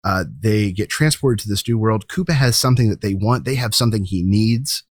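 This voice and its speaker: A man's voice: fairly low pitch, a little fast, dry recording, studio quality. A man co-hosting a podcast, making his case about movies in casual, emphatic, opinionated talk. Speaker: podcast co-host. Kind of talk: casual film commentary, arguing a case.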